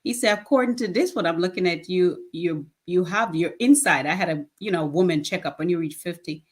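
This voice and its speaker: A woman's voice: on the low side, fairly quick, slightly rough. A woman in her fifties answering interview questions conversationally, in a plain-spoken way with short emphatic statements. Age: 50s. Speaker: guest, children's charity founder.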